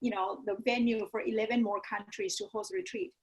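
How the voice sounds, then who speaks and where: Woman, Thai accent, higher pitch, native Thai speaker, personal motivational interview talk. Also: sing-song, a little fast, poor audio. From Thailand. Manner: often adds "right?" and "you know". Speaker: guest, yoga teacher and business coach.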